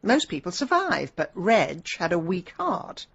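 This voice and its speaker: A brisk, middle-aged English woman detective in a radio drama. She is sharp, wry and defensive, with a lowish, husky voice that swings widely in pitch. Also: very animated, highs cut off, heavily acted. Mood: defensive, matter-of-fact. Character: sharp, ambitious, defensive ex-London woman amateur sleuth.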